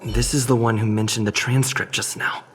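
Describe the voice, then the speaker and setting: A man's voice: mid-range voice, little pitch movement, moderate pace, soft background music, close to an everyday voice. A man playing an investigator in a video game, speaking in dry, matter-of-fact inner monologue. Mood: focused.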